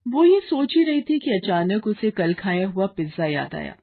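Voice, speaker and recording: A woman's voice: mid-range voice, very animated, normal speed, phone-quality sound. A woman reading a scripted children's moral story as narrator.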